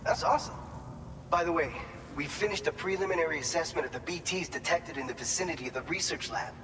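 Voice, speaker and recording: A man's voice: on the high side, fast talker, close to an everyday voice. A man playing an upbeat, chatty distribution center staffer, briefing a courier in an informal, explanatory way in a video game cutscene. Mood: encouraging, instructive. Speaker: briefing officer. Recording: noisy ambience, long hall reverb.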